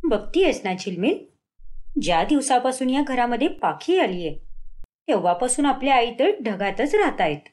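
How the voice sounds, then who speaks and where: A woman as a nosy, expressive daughter-in-law. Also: fairly high pitch, moderate pace. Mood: probing. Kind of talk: animated moral-story cartoon dialogue.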